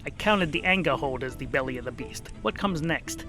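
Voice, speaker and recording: A brooding old gray wolf hero, acted out by a male narrator in a comic fantasy audiobook. He grumbles dramatically and sounds weary of his own quest. The voice sits a little high for a man, with music playing clearly behind it. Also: expressive, moderate pace, close to an everyday voice. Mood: grim planning.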